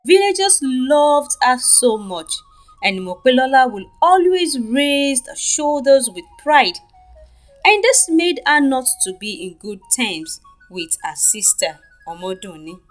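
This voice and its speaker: A woman with a Nigerian accent narrating a scripted folktale for children in an expressive, deliberate way. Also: high voice, faint music behind. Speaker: storyteller.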